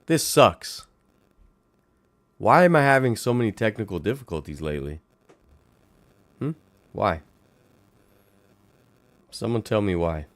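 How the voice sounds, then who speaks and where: A young man with a raspy voice, hosting a casual late-night livestream from home and chatting playfully with viewers. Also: on the low side, a little slow, pauses often, no room sound. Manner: animated, playful, talks to chat viewers. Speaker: livestream host.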